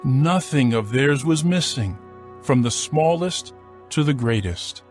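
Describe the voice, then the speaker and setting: A man narrating scripture from a script in a solemn, measured storytelling manner. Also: medium pitch, expressive, soft background music.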